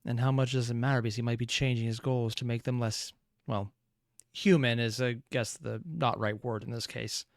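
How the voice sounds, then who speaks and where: A man hosts a reaction video with a mid-pitched voice. He muses aloud and analyses off the cuff, recorded close and dry. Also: fairly even intonation, moderate pace, dry recording. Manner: musing, thinking aloud.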